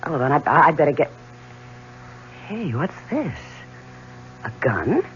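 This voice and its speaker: A coy secretary and mistress in a seventies radio drama. She flirts with a lively, swinging voice over a dull broadcast with faint hum. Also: deep voice, a little slow, full character voice. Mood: startled. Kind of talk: 1970s radio drama acting.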